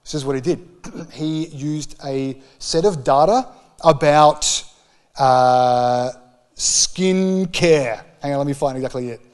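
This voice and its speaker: A man in his forties with a somewhat high voice and an Australian accent preaches in a conversational way. He speaks into a handheld microphone, leaning on key phrases and pausing between them. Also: smooth. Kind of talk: sermon, conversational public teaching. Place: church hall with stage.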